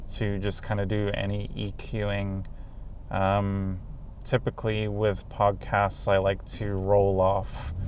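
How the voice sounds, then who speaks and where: Podcast host, male, bassy, flat slow delivery, lapel mic, heavy background noise. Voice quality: bassy deep voice. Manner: halting, many ums and uhs. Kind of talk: casual solo talk.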